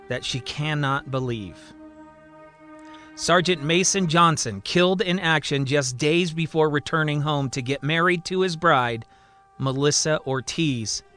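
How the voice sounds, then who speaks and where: A man's voice: fairly high pitch, sharp tone. A man telling a scripted story as a podcast storyteller, with a theatrical, emphatic and suspenseful delivery. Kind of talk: dramatic scripted story narration.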